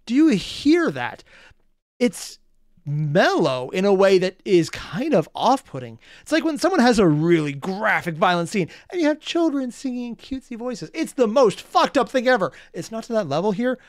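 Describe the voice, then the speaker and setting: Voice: medium pitch, big pitch swings, moderate pace, dry recording, studio quality. A reaction host giving casual, blunt commentary, musing aloud and swearing freely.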